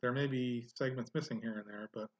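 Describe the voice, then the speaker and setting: A man hosting a casual genealogy software tutorial, thinking aloud as he clicks through, with frequent "uh" and "um" fillers. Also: medium pitch, fairly even intonation, a little fast, dull audio. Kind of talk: casual screen-recorded software walkthrough.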